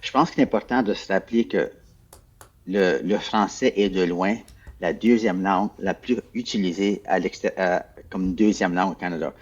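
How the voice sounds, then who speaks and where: A man, a former official, answering interview questions in a measured, explanatory way, often repeating words as he searches for them. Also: low-pitched, dull audio. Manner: measured, explanatory, hesitant repetitions.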